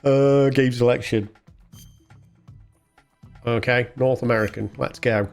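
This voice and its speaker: A man hosts a gaming video with slow, rambling commentary. His pitch is middling and he talks casually, halting as he goes. Music plays behind him. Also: steady tone, gravelly.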